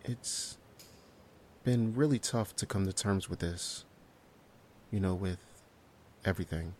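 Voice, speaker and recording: A man's voice: sits low, takes it slowly, a little mellow, a little hiss or hum. An actor playing a haunted survivor in a horror audio drama, recounting his ordeal in a hesitant, solemn and descriptive way. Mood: shaken, apologetic. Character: haunted male survivor recounting a harrowing night.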